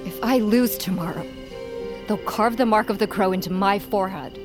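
Fantasy audio drama: actress plays proud female underdog warrior, young, guarded, intense, often hushed, music bed. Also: medium pitch, normal speed, close to an everyday voice. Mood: bitter, anxious.

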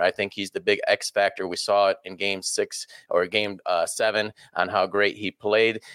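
Low-voiced, confident male betting analyst. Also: clear, resonant tone, no echo. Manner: confident, steady, reasoned with fillers. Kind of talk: casual analysis on a show.

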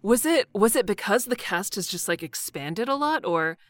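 A woman's voice: medium pitch, expressive, moderate pace, no room sound. Casual woman guest, dry close sound. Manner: informal, peppered with "like". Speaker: voice actor guest. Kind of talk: casual chat.

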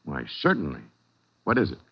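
A man's voice: very deep voice, huge pitch swings, a little fast, slightly rough, full character voice. An actor playing an obliging, thoughtful ship's captain in a 1940s radio drama, mild and pondering in his delivery. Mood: thoughtful, cooperative. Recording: dull, lo-fi old radio recording.

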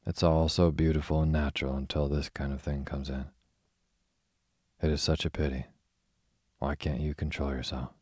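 A man voicing a poised young woman who gently turns down a suitor, speaking in a soft, reproving way. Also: very deep voice, little pitch movement, normal speed, pauses often, breathy edge, dry recording, clean studio sound. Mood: gentle reproof.

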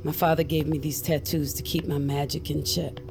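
An actress playing a guarded, earnest middle-aged witch on the run from her crime family, confiding in an intimate audio drama with a gravelly voice. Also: deep voice, level tone, normal speed. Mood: pained, confessional. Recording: music under the voice. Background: music.

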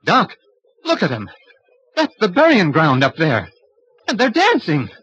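A man's voice: high voice, huge pitch swings, a little slow, fairly bright tone, husky, full character voice. An actor playing a timid, superstitious small-town man in an old-time radio horror drama, folksy, nervous and hesitant. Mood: frightened. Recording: dull vintage radio recording.

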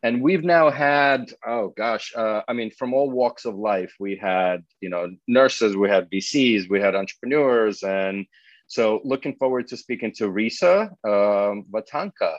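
A man's voice: on the low side, unhurried, dry recording, muffled recording. A man hosting a podcast, chatting informally and unscripted in a bantering way over a video call. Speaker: podcast host. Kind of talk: casual unscripted chat.

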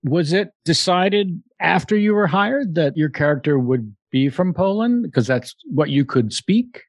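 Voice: medium pitch, lively intonation, a little slow, no echo. A podcast host interviewing a guest in a playful, jokey way. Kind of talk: casual interview.

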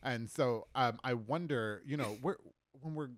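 A man's voice: mid-range voice, measured pace, no room sound. A man in his thirties hosting a casual podcast interview in a brash, profane, joking manner. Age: 30s. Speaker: podcast host. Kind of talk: casual chat, interviewing a guest. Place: small room, indoors. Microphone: close studio podcast mic.

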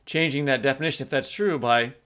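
A man's voice: mid-range voice, quick pace, a bit bright, smooth. A man co-hosting an interview, posing a question in a casual, musing way. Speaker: podcast co-host interviewer.

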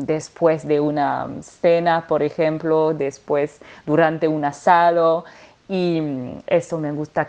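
A woman's voice: low voice, a little mellow. A French woman singer speaking Spanish with a French accent, answering interview questions with many hesitations and restarts. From France.

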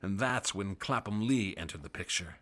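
An actor playing a haunted doctor who narrates gravely and grows excited in scenes. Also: male voice, sits low, moderate pace. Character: frightened male doctor assistant and narrator. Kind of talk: radio drama narration.

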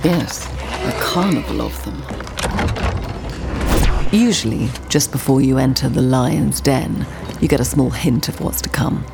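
An actress playing a composed, haunted woman narrating her family past in an audio drama, in measured, literary, portentous phrases. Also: a bass voice, gravelly, noisy background, heavily acted. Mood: wry, haunted. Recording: prominent music bed under voice.

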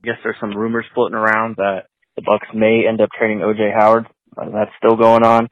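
A man's voice: on the low side, little pitch movement, fairly quick, no echo. A man leaving a casual voicemail question for podcast hosts, heard on a lo-fi phone message recording.

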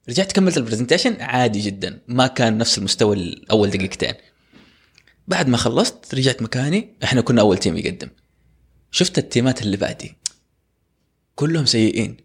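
A young man, co-hosting a casual podcast and telling personal stories in a lively way. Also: mid-range voice, fast talker, breathy edge. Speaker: podcast co-host.

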